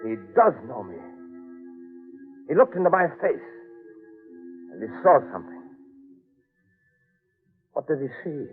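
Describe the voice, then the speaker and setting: A man's voice: a little high, sing-song, unhurried, pauses often, faint music behind. A man playing an edgy, hot-headed explorer husband in an old-time radio drama, dramatic and clipped. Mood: intense, agitated. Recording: narrow, lo-fi old radio recording.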